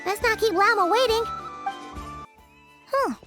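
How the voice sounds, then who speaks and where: A woman's voice: extremely high pitch, a little slow, music in the background. A woman voicing a small, cheerful, childlike fairy companion in a video game, perky and exclamatory. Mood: chatty. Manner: perky, exclamatory, speaks of self in third person.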